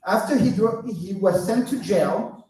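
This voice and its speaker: A man's voice: high-pitched, unhurried, dark tone. A man from New York arguing animatedly on a panel, interrupting himself with "hold on". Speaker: party chair panelist. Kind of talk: panel debate. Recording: very echoey room, distant mic.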